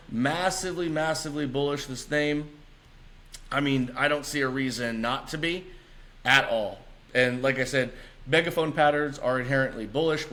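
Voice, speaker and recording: A man's voice: medium pitch, a bit bright. A man presenting informal market chart commentary as a channel host, upbeat and emphatic, repeating key words for stress. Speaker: host of a stock trading analysis channel.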